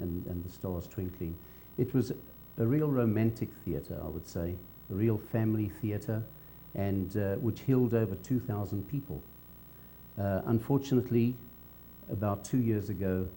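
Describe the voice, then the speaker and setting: Older South African man, very muffled deep voice. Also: warm tone. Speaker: interviewee, veteran cinema cameraman. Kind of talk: on-camera reminiscence. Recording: dull low hum, faint background noise.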